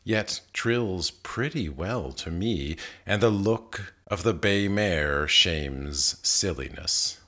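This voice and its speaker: Slow, low-pitched man reciting poetry. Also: expressive, sharp tone. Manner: measured, deliberate phrasing with pauses. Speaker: poetry reader. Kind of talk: literary poetry reading, scripted recitation.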